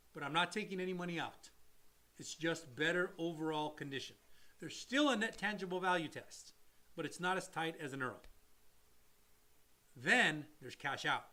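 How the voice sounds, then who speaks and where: A man with a high voice co-hosts a casual mortgage talk show. He explains loan types to viewers in an emphatic way, repeats his key points, and throws in blunt asides. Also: bright, forceful tone. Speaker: mortgage loan officer co-host. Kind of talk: casual explanatory chat, answering viewer questions.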